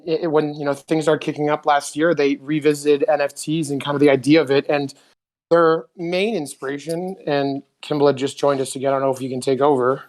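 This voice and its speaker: Man, host, somewhat high voice, rambling, casual chat. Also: darkish tone. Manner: rambling, many fillers.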